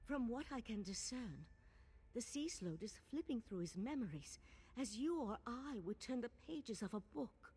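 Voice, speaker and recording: An actress playing an earnest, resolute female mage in a fantasy game, explaining things in a measured, serious way. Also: on the high side, lively intonation, darkish tone, slightly rough. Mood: earnest, urgent. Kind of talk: fantasy game dialogue acting.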